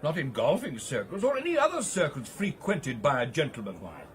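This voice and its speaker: Hearty, high-voiced English club gentleman. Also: huge pitch swings, a little fast. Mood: gossipy, indignant. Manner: jovial, bossy, clubbish old-fashioned turns of phrase. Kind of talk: period radio drama acting.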